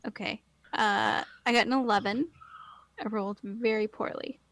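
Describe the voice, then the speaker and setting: A woman playing a tabletop role-playing game, hesitant and giggly, often pausing with "like" as she asks about her options. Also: on the high side, fairly even intonation, fairly bright tone, a little hiss or hum. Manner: giggly, hesitant, lots of likes. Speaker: player. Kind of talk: casual tabletop game play.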